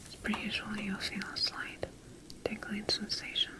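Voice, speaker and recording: A woman playing a calm, clinical scientist in a whispered ASMR roleplay, speaking in a hushed, measured, procedural way. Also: medium pitch, natural voice. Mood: focused, clinical. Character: calm, clinical female scientist tending her bioengineered creation. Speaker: ASMR performer.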